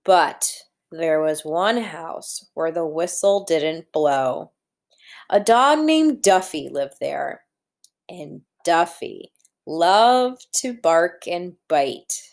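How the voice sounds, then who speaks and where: A woman's voice: low-pitched, expressive, extremely slow. A woman teacher reading a storybook aloud to young children, talking to them directly and posing questions as she guides them along. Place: indoors at a computer.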